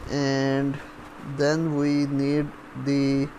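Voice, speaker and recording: A man giving a step-by-step coding tutorial, halting as he thinks aloud while typing. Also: medium pitch, completely flat delivery, darkish tone, rough recording. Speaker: programming tutorial instructor. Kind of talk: step-by-step coding screencast narration. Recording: faint background noise.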